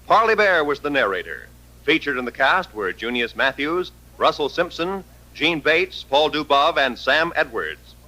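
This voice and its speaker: An American man announcing formally in the crisp style of fifties radio credits. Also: on the high side, very animated, normal speed, sharp tone, rough recording. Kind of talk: 1950s radio closing credits.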